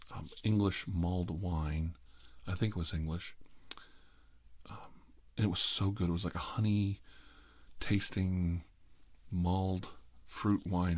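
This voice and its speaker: Deep-voiced middle-aged man, casual storyteller. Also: no room sound. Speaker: video storyteller. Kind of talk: casual rambling personal storytelling.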